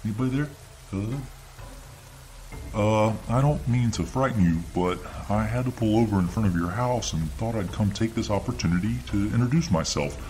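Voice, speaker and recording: A man's voice: fairly low pitch, moderate pace, a little mellow, a little hiss or hum, voice pushed up, lightly acted, poor audio. A man voicing a friendly, hulking male town hero with a drawl, calling out in a hearty neighborly way. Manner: hearty, neighborly, slightly pleading.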